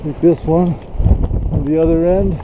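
A man's voice: a little high, fairly even intonation, normal speed. A man giving casual, practical work instructions to helpers, thinking aloud in short phrases outdoors. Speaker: work crew leader. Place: outdoors on a snowy windy mountain. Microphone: body-worn action camera. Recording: muffled action camera, loud wind noise.

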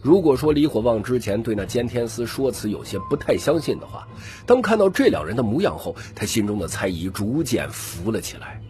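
A man's voice: mid-range voice, big pitch swings, brisk, few pauses, a little mellow, faint music behind. A man narrating scripted fantasy fiction dramatically as an audiobook reader.

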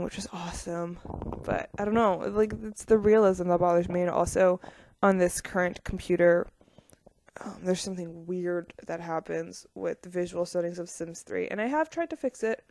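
A woman's voice: fairly low pitch, darkish tone, no echo. A young woman casually talking over her building video game footage, rambling with lots of ums and small laughs.

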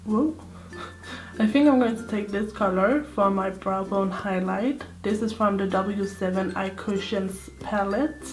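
A young woman with a slightly hoarse voice, chatting casually through a makeup tutorial at home. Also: mid-range voice, a little mellow. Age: young adult. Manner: chatty, thinking aloud while applying makeup. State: slightly hoarse, sore throat. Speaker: beauty vlogger. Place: indoor room at home. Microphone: close camera facing her.

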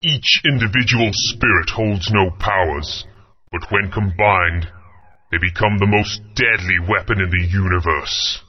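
An actor playing a menacing male villain sorcerer who speaks gravely and threateningly. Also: sits low, a little slow. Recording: lo-fi game audio.

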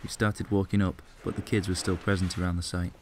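A man's voice: deep voice, level tone, fairly quick, very noisy. A man with a Northern English accent reading a scripted voice-over about a site he explored. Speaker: urban explorer narrator.

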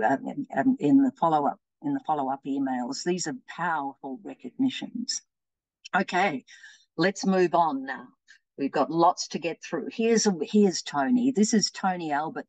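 A middle-aged woman running an anti-racism webinar, warmly reading participants' chat replies aloud and encouraging them. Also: sits low, dry recording, rough recording. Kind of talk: interactive webinar teaching. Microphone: video call.

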